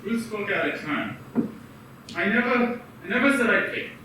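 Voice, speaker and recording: A man's voice: high voice, animated, moderate pace. An actor in a radio play voicing a cheerful young man returning home to his brother. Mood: hearty. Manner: hearty then earnest. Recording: echoey room from far off with noise.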